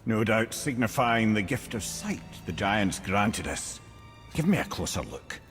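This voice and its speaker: An actor voicing a wry, worldly-wise storyteller companion in a video game, with a Scottish accent and an eloquent, sardonic manner. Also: male voice, lowish voice, big pitch swings, a little slow, fairly bright tone, soft background music. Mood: pondering. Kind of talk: dramatic video game acting.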